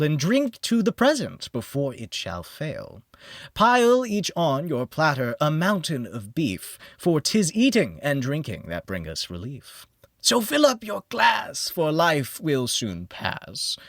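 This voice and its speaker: A man performs a boisterous, tipsy young man from a gothic storybook, reciting bawdy tavern verse. The voice leaps high and swings wildly through the rhymes with rowdy, declamatory cheer. It is recorded very dry. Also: wildly swooping pitch, a little slow, no echo, exaggerated voice. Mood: rowdy, merry.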